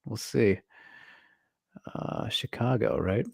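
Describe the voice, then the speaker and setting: Low-voiced easygoing stream host. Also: male voice, very animated, slow pace, creaky, darkish tone, husky, no echo, studio quality. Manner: easygoing, laughs often, short replies. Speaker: livestream host reading chat. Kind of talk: casual chat on a livestream.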